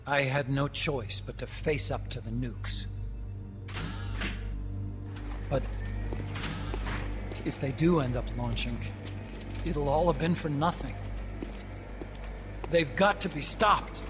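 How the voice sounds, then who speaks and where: An actor playing a nervous, guilt-ridden young scientist in a game cutscene, explaining quickly and at times slipping into subdued hesitation. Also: male voice, mid-range voice, natural voice. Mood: bitter, subdued. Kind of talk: video game cutscene acting. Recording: music playing underneath.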